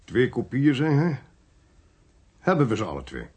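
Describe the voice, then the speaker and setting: A male actor in a Dutch radio play, voicing a cold, smooth-talking, ruthless intelligence official in a measured, condescending way. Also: medium pitch, huge pitch swings, darkish tone. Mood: coldly ruthless.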